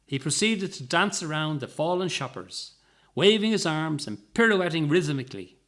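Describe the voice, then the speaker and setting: A man reading comic fiction aloud as expressive scripted narration. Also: a little high, normal speed. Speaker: story reader.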